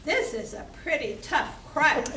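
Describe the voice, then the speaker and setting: A woman acting a bright, brassy barroom singer. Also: sits high, sing-song, unhurried, heavily acted. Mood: playful, eager. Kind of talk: amateur stage comedy radio play acting. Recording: echoey room from far off, background noise.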